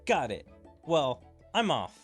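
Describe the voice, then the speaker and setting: A man reading the lines of a calm young male leader in a game, relaxed and friendly. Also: a little high, slow, music in the background. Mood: easygoing.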